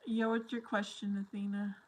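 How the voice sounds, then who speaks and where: A woman's voice: mid-range voice, level tone, moderate pace, a little mellow, rough recording. Young-sounding woman chatting on a livestream. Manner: chatty, laughs often, reads out comments. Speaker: livestream host reading chat. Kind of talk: casual live chat with viewers.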